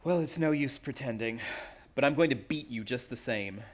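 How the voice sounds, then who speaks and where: A man's voice: medium pitch, normal speed, close to an everyday voice. An actor playing a tense, hunted younger man in a radio suspense play, earnest and dramatic. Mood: defiant.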